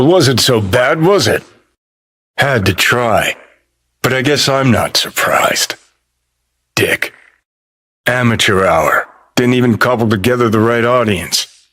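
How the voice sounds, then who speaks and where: A sardonic male rocker rebel from a video game, speaking in a fairly low, gruff voice. He takes his time over every phrase, cynical and foul-mouthed, with a dry edge of disdain. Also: fairly bright tone. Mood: contemptuous. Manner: sardonic, unhurried, gruff delivery. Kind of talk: video game character dialogue.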